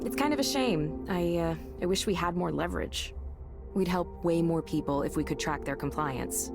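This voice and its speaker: Low-voiced, pragmatic office woman. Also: creaky. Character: matter-of-fact, pragmatic female tech company staffer. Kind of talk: naturalistic visual novel voice acting.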